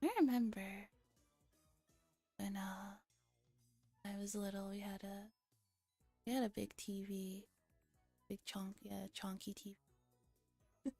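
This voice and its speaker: Soft, mellow, whispery ASMR woman. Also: medium pitch, flat delivery, slow pace, long pauses, dry recording, faint music behind. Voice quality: soft, breathy, often whispering. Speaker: streamer. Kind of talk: ASMR visual novel read-aloud with casual chat.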